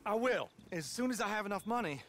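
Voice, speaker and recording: High-voiced, petulant young Southerner. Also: male voice, animated, fast talker, fairly bright tone. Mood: plaintive. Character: petulant, sensitive young Southern rich man's son. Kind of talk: video game dramatic acting.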